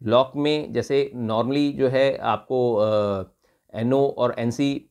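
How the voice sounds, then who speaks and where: A man with an Indian accent talks through a product unboxing in an unscripted way. His voice sits at a medium pitch, and he explains each part, often restating things between Hindi and English. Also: fairly even intonation, very slow, a little mellow. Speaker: product reviewer.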